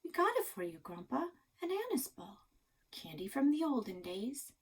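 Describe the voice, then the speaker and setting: A woman's voice: sits high, sing-song, moderate pace, husky, distant mic, voice pushed up, full character voice. A woman reading a children's storybook, voicing a curious young boy who coaxes his grandpa in an innocent way. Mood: coaxing. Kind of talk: children's storybook read-aloud.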